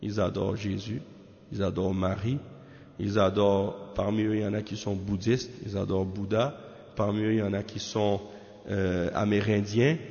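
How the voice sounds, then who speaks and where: A man from Canada giving a measured religious lesson on a text, often tagging his sentences with questions. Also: fairly low pitch, little pitch movement, a little mellow. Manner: measured, peppers talk with tag questions. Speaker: religious teacher. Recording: echoey hall, dull lo-fi sound.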